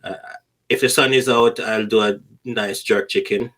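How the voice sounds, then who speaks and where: A middle-aged Jamaican man with a fairly low voice, a guest in a casual podcast chat. He tells stories in a conversational way and repeats words to drive a point home. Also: steady tone, moderate pace, fairly bright tone.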